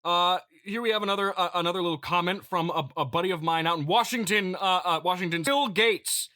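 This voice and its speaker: Bright-voiced man as a pompous, rambling CEO. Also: high voice, dry recording, studio quality. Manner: mock-pompous, halting and rambling with repeated phrases. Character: smug, petty billionaire CEO boasting to investors. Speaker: comedy podcast host. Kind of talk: improvised comedic monologue, mock-corporate address.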